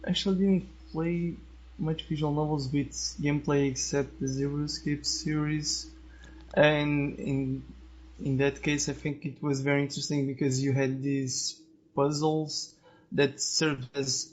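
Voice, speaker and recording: A man giving opinions as a podcast guest in a halting, hesitant way, searching for words. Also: medium pitch, little pitch movement. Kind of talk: casual discussion of opinions. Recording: dull, lo-fi voice-chat audio.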